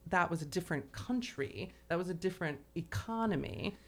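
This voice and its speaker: A woman with a slightly low voice talks as a podcast host in a casual literary discussion. Her delivery is conversational and loose, sprinkled with "like" and "you know". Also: lively intonation, brisk.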